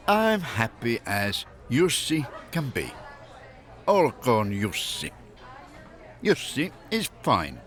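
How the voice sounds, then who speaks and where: A man's voice: mid-range voice, fairly bright tone. A middle-aged or older man chatting casually and humorously, telling anecdotes in a wry way and often amused by his own jokes. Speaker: expatriate living in Finland.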